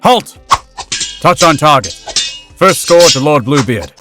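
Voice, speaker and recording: A man's voice: on the high side, expressive, slow, over music. A man playing a formal fencing master making commanding announcements in a comic dub. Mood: loud refereeing. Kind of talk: comic dub character acting.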